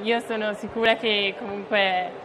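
A woman's voice: medium pitch, fairly even intonation, unhurried, noisy background. A teenage girl who is a student actor, describing her role earnestly in an interview. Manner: earnest, laughs shyly. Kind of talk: interview answers.

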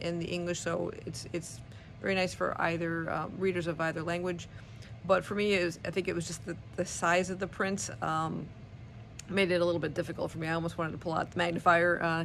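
A middle-aged woman chatting casually about books in a vlog, halting as she thinks aloud. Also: fairly low pitch, vocal fry, a little hiss or hum, rough recording. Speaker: book vlogger. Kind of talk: casual book review chat. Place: small wood-paneled living room indoors. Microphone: phone or camera at arm's length.

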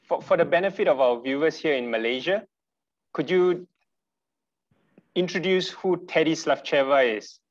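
A man's voice: on the high side, normal speed, pauses often. Polite male webinar host, slightly distant. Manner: polite, restarts often with repeated words. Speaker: moderator, host. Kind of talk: webinar interview hosting. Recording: dull distant video call audio.

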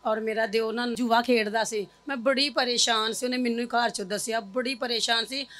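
Bright-voiced woman, Punjabi accent. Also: a little high, steady tone, moderate pace. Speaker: church member giving testimony. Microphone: handheld mic held by host.

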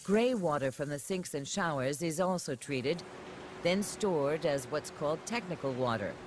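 A man doing measured, scripted narration for a documentary voice-over. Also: on the high side, expressive, background noise. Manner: measured, explanatory. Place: studio voice-over. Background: music.

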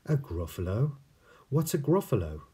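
Man playing a snake, raised pitch, sly, skittish, emphatic, children's storybook creature. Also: big pitch swings, normal speed, mellow, breathy edge. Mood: inviting, curious. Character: sly hungry snake, easily scared, storybook reptile.

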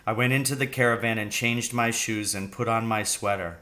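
A man's voice: on the low side, fairly even intonation, fairly quick, pushed and bright. A middle-aged man narrating a children's storybook, reading aloud. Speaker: reader. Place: room at home, indoors.

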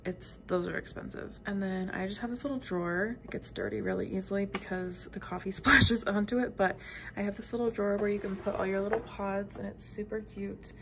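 A young woman with a raspy voice chatting casually about books and coffee at home, rambling with lots of "like". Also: mid-range voice, noisy background. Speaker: book vlogger. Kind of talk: casual vlog chat. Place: home, bedroom and kitchen.

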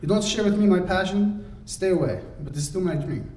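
A breathy, intense young woman painter, as a male narrator puts her on, speaking soft, halting, broken English. The voice sits higher than his own and quieter. The storybook recording is roomy and distant, with a faint hiss. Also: natural voice. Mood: aggrieved.

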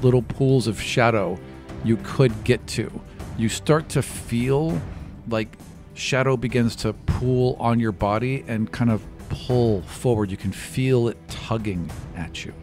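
A man in his thirties with an average-pitched voice runs a tabletop game. He improvises his narration in a casual, vivid way. He is close on a studio mic with music underneath. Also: measured pace, darkish tone. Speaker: game master. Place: small indoor podcast studio. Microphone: close studio microphone with foam cover. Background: background music.

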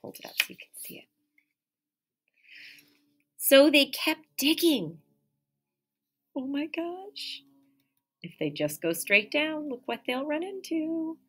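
A woman's voice: high voice, big pitch swings, slow, pauses often, fairly bright tone. A woman in her thirties, an elementary teacher, reads a picture book aloud expressively, stressing key words and prompting young listeners with questions. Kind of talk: animated children's picture-book read-aloud with asides. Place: small indoor room by a window.

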